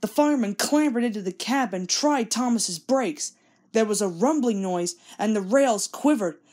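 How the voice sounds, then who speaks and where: A woman's voice: a little high, lively intonation, normal speed, a little mellow. A woman reading a children's story aloud as scripted narration. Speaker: storybook reader.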